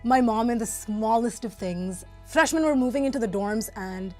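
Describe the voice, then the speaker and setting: A young university student from Singapore recalling personal experiences in an interview, candid and reflective in a conversational way. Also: female voice, fairly high pitch, normal speed, warm tone, faint music behind. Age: young adult.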